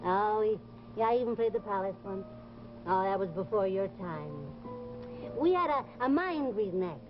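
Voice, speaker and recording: A woman's voice: mid-range voice, expressive, normal speed, over music, natural voice. An older woman playing a folksy, gossipy landlady and former stage fortune teller in a television drama, with theatrical exclamations. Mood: chatty, nostalgic. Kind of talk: 1950s television drama acting. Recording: dull, noisy old TV soundtrack.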